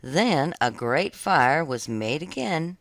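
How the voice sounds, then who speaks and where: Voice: mid-range voice, expressive, slow, fairly bright tone, dry recording. A narrator reading a scripted fairy tale aloud for children, in audiobook style.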